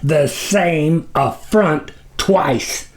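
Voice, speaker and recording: A man voicing a huge, tyrannical demon lord with a booming, imperious, quick-tempered delivery for a storybook. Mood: haughty anger. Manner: slow, imperious drawn-out commands.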